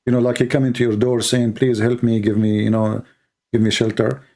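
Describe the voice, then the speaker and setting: Gravelly-voiced man, insistent debater. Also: medium pitch, little pitch movement, fairly quick, mellow. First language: Arabic. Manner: insistent, repeats catchphrases. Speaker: debate host. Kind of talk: heated debate.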